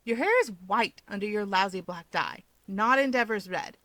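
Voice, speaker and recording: A woman's voice: medium pitch, normal speed, a bit bright, no room sound, natural voice. A woman voicing an earnest, teasing young man who keeps pushing in a casual way. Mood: puzzled, insistent.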